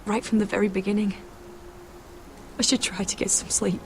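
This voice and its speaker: A woman playing a grieving young noblewoman in a fantasy video game, speaking in a raw, emotional, halting way. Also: medium pitch, level tone, faint music behind, close to an everyday voice. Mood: subdued, sorrowful. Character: grieving young noblewoman mourning her murdered brother.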